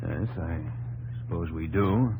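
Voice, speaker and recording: A wary, plain-spoken lieutenant with a lowish voice. He talks in a folksy, hesitant way, as heard on a 1950s radio drama recording. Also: measured pace, mellow, slight background noise, poor audio.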